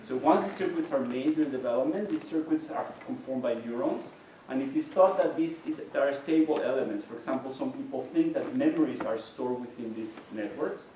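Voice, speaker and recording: A man's voice: mid-range voice, brisk, warm tone, slight background noise. A middle-aged man whose first language is Spanish, giving a university lecture in an explanatory way with frequent restarts. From Mexico. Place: lecture hall. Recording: very echoey hall, distant mic.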